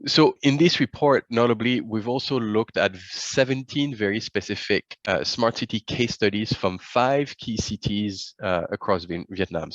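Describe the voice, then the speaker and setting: A man presenting a report over a webinar, speaking haltingly with many fillers and restarts, on dull video call audio. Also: on the low side, no echo.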